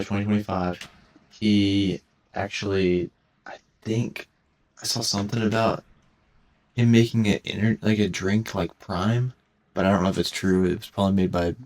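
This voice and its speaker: Deep gravelly-voiced teen guy rambling. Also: a little mellow. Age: teens. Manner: halting, restarts and stutters, self-conscious. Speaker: gaming commentator. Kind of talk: rambling casual commentary over gameplay. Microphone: cheap headset mic.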